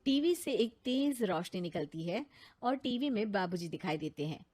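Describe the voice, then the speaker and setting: A woman's voice: medium pitch, animated, normal speed, darkish tone. A woman reading scripted narration for a story.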